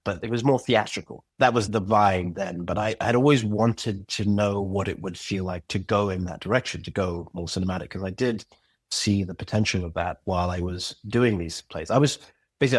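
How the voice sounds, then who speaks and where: A man with a somewhat low voice answers interview questions in a reflective, unhurried way, often restarting a thought as he works it out aloud. The sound is dry and close. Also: no room sound. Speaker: podcast guest, audio drama creator. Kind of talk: casual conversational interview.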